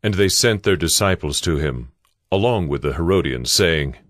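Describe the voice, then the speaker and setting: A man narrating a dramatized Bible story in a solemn, measured, scripted style. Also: sits low, lively intonation, fairly bright tone, no room sound, clean studio sound.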